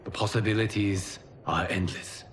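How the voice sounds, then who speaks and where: An actor playing a solemn, godlike keeper of time in a video game cutscene, grave, measured and formal, calm and protective. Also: male voice, on the low side, slight background noise, lightly acted. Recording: loud music behind.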